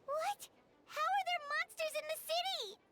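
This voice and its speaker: A man voicing a tiny, excitable, childlike game companion with a squeaky, exclaiming delivery. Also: a little slow, faint music behind, full character voice. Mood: eager, startled.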